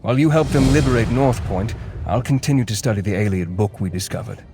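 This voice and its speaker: A man's voice: fairly low pitch, fast, music in the background, lightly acted. An actor playing a learned male scholar who gives orders in a formal, curt and impatient way. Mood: instructive.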